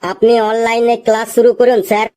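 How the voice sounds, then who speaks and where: A woman's voice: fairly high pitch, monotone, fast, warm tone, clean tone, faint music behind, close to an everyday voice. A woman voicing a cheeky, literal-minded schoolboy in a comedy cartoon, answering back in a matter-of-fact way. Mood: cheeky pleading.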